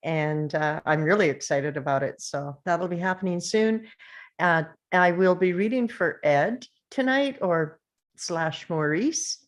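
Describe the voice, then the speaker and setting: Slow-talking woman. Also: lowish voice. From Central Alberta, Canada. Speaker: actress. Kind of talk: casual self-introduction.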